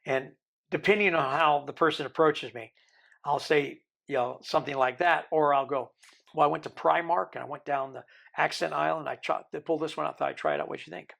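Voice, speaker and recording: Gravelly older man with mixed accent. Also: a little high, fairly even intonation, a little fast, fairly bright tone. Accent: American-tinged Birmingham English. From Isle of Man. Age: 60s. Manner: rambling, joking, self-deprecating. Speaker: interview guest. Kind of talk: storytelling interview.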